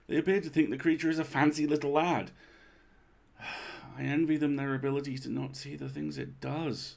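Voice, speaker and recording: A man reading a bedtime creepypasta as a weary, uneasy townsperson, measured and confiding. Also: on the high side, flat delivery, creaky, a little mellow, pitched above the performer's own voice. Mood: exasperated, uneasy. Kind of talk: dramatic bedtime story reading.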